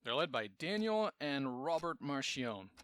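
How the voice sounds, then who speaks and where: Man acting a sharp-voiced, businesslike police captain. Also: medium pitch, big pitch swings, a little slow, smooth. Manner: matter-of-fact, official. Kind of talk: fan audio drama acting.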